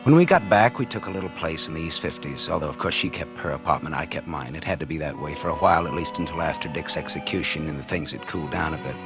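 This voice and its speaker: An actor playing a scheming, smug American publisher in 1940s radio noir, speaking in a sardonic, confiding way. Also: male voice, very deep voice, fast, few pauses. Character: scheming, smug publisher narrating his crime, smitten lover. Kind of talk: 1940s radio noir drama acting and narration. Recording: vintage 1940s radio recording with music.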